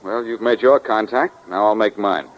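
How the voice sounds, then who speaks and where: A man's voice: on the low side, normal speed, very noisy, lightly acted. A man playing a confident, wisecracking amateur sleuth in the cool, teasing style of 1940s radio detective drama. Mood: cool, wry. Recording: dull old 1940s radio recording.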